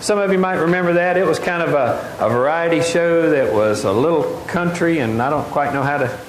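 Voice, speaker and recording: A middle-aged man preaching a lively sermon, often asking the congregation questions. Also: fairly high pitch, fairly quick. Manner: animated, gestures widely, asks the congregation questions. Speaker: pastor. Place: large wooden open-air church hall. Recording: echoey hall, faint noise.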